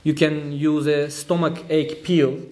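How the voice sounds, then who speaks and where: A man from Turkey with a Turkish accent, giving a speech at an event, telling a story with light humor and many pauses. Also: a little high, level tone, darkish tone. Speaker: trade union official giving a talk.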